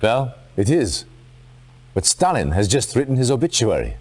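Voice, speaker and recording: A man playing a stern, resolute military commander in a video game, speaking in a formal, dramatic, deliberate way. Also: medium pitch, big pitch swings, a little mellow.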